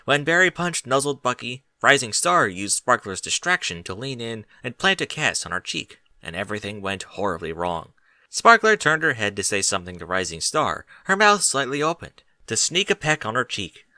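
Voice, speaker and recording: A man narrates a storybook tale in a bright, forward voice. His pitch moves up and down in a lively, expressive way, and the sound is close and dry. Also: normal speed, no room sound. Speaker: audiobook narrator reading fan fiction.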